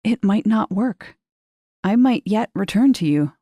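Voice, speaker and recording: Woman voicing a gentle, wistful young woman, pitched up. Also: lively intonation, unhurried, no room sound, studio quality. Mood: tender, consoling. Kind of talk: audio short story reading.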